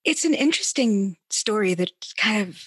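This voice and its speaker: A woman's voice: mid-range voice, animated, normal speed, a bit bright, husky, no room sound, studio quality. A woman hosting a conversational interview, putting questions to her guest. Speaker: interviewer, host.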